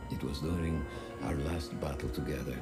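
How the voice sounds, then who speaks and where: Very deep elderly Italian veteran. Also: male voice, steady tone, normal speed. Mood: somber, proud. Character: elderly Italian war veteran father, somber, reassuring, recounting battle. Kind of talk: dramatic first-person war story narration. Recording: loud music and battle rumble behind. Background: loud music, vehicle noise.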